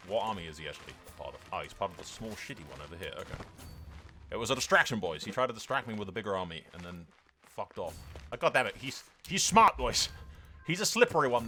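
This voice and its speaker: A man with a low voice and highly animated intonation commentates on a role-play game. He is casual and sweary, moving from excitable reactions into improvised narration. His tone is bright and forceful, over faint music. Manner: sweary, excitable, reacts aloud then explains.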